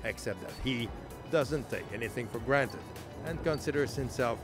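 A male reporter narrating a news feature in a measured, scripted voice-over. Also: mid-range voice. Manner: measured, even storytelling delivery. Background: music bed.

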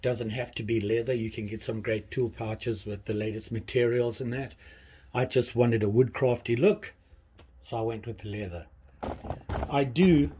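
A man in his fifties casually showing his tools in a small workshop, talking in a relaxed, rambling way with many pauses and restarts. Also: mid-range voice, a little hiss or hum. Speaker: hobbyist channel host. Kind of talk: casual show-and-tell vlog. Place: small workshop with pegboard tool wall, indoors.

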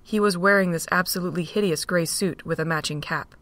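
A woman narrating a fan-made audio drama in a steady, plain reading voice. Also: fairly low pitch, brisk.

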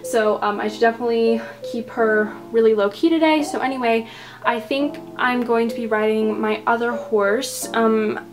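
A woman's voice: on the high side, fairly even intonation, moderate pace, poor audio. A young woman in her teens narrating a casual horse vlog, chatty and full of fillers and little asides. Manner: chatty, many fillers and "you know". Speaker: toy horse vlog creator voicing a rider. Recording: voice-over with clear music underneath.

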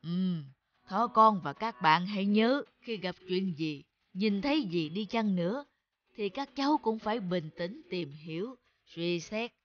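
A woman voicing a calm, wise grown-up elephant who speaks to youngsters in a measured, reassuring way in a children's cartoon. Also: mid-range voice, muffled recording, lightly acted. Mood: gently instructive.

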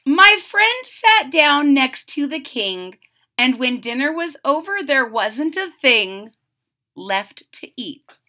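Middle-aged woman, children's read-aloud, unhurried and very animated. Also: high-pitched. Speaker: storyteller reading a picture book aloud. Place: small room at home.

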